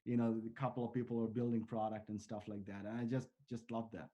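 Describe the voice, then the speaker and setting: Earnest founder with a mellow voice. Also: male voice, lowish voice, flat delivery, quick pace, dull audio. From Bangladesh. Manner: earnest, talks in long strings of restarts and fillers. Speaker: startup founder, interview guest. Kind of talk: conversational interview answers.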